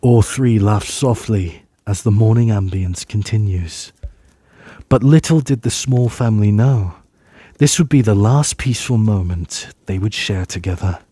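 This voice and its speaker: A man narrating a scripted fantasy tale as storyteller, measured and solemn, with dramatic pauses. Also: lowish voice, dark tone, breathy edge, no room sound. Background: music.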